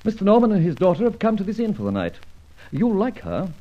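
A man playing a suave, wry gentleman adventurer in an old radio drama, urbane and wordy, with a drily witty manner. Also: high-pitched, huge pitch swings, quick pace, exaggerated voice. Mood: brisk, amused. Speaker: narrator and lead actor. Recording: dull old radio recording.

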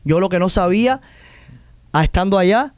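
A man's voice: high voice, normal speed, smooth, no echo. A young man from Cuba with a Cuban Spanish accent, telling stories animatedly as a guest in a casual interview, often acting out other people's voices. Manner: animated, acts out quoted voices, restarts often. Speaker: guest artist.

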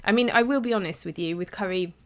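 A woman's voice: medium pitch, a little fast. Woman, 30s, podcast host, chatty and confiding, a bit stuffy with a cold. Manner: chatty, confiding, with many fillers. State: slightly bunged up with a cold. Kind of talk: casual podcast monologue.

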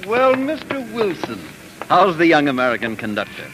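An actor playing an eminent older band conductor, courteous, composed and formal, in 1940s radio drama style. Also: male voice, fairly high pitch, wildly swooping pitch, moderate pace, low-quality recording. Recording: 1940s radio broadcast, loud music.

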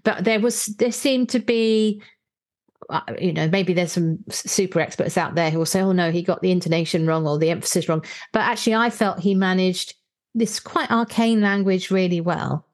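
A woman's voice: fairly low pitch, normal speed. A woman hosting a podcast, chatting and explaining as she discusses books, thinking aloud as she goes. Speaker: podcast host. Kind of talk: conversational book review podcast.

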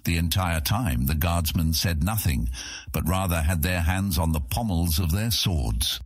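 A man's voice: low voice, measured pace, breathy edge, no echo, clean studio sound. A man narrating a fantasy audiobook in a dramatic, scripted storytelling style.

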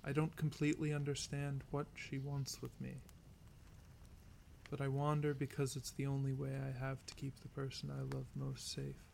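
A man playing a weary, resolute, lovelorn wanderer in an audio drama, narrating their journey with earnest, deliberate phrasing. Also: medium pitch, completely flat delivery, creaky, darkish tone, slight background noise. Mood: solemn, resolute. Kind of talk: audio drama monologue and folk singing. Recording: guitar music behind. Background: acoustic guitar music.

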